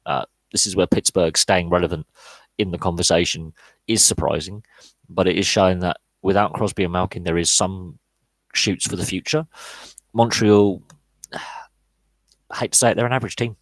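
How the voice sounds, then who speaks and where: Deep-voiced guy in his 30s, podcast host, lots of ums and restarts, dry sound. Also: moderate pace, pauses often, no room sound, poor audio. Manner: rambling, frequent fillers and restarts. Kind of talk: solo live podcast Q&A, casual analysis.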